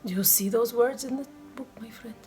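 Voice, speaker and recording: A woman playing a kindly, devout, blind old man in a radio play, reciting and teaching in a measured, gentle way. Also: fairly high pitch, faint music behind, natural voice. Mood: gentle, reverent.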